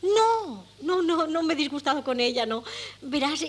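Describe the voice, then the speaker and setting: An actress playing an excitable, scheming middle-aged mother in a Spanish radio comedy, with a Castilian accent and a fussy, exclamatory delivery. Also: sits high, moderate pace. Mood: gushing, triumphant. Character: meddling, excitable, scheming mother of grown sons. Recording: noisy old radio cassette with hum.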